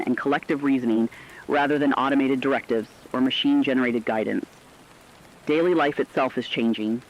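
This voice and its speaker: A man playing an earnest radio correspondent, delivering a scripted emergency broadcast in a formal, deliberate way. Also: medium pitch, dull audio, lightly acted. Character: earnest radio correspondent in a world of failing technology. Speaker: broadcast co-anchor.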